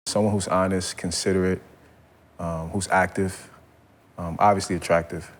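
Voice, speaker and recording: A man's voice: low voice, normal speed. A man in his thirties from Brooklyn, a dating show contestant talking casually and a little nervously. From Brooklyn, New York. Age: 30s. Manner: relaxed, slightly nervous. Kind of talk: casual chat.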